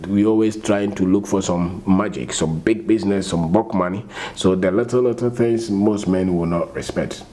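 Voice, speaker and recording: A man's voice: low-pitched, moderate pace, hardly any pauses, mellow. A man with a Ghanaian English accent giving an unscripted motivational talk, repeating himself and firing rhetorical questions. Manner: repeats and restarts phrases, asks rhetorical questions. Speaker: finance talk host.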